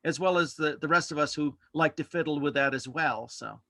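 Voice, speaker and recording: A man talking casually as a podcast host being interviewed in a relaxed conversational exchange. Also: on the high side, a little fast, no room sound, studio quality. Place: indoors at home, on a video call.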